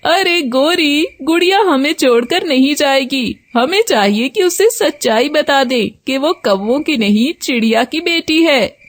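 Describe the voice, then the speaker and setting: Woman as a slow-talking, earnest father crow. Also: sits high, lively intonation. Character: caring, honest father crow of a bird family. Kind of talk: children's cartoon story acting.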